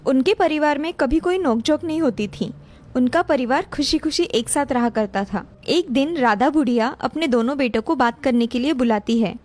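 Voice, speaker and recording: A woman's voice: high-pitched, normal speed, darkish tone. A woman narrator reading a scripted moral story for a cartoon. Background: music.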